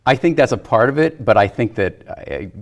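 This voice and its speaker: A man's voice: medium pitch, lively intonation, fairly quick. A man in a casual discussion, giving his opinions hesitantly and circling before he lands on a point. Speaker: film discussion panelist.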